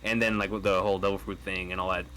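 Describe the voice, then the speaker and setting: A man's voice: low-pitched, level tone, fast, sharp tone. A man in a casual podcast chat, laying out his ideas eagerly and emphatically.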